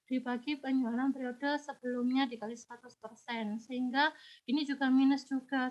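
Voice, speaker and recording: A woman's voice: sits high, muffled recording. A woman teacher with a cold explaining exam answers step by step, her voice a little congested and unclear. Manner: patient, step-by-step explaining, addresses students directly. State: has a cold.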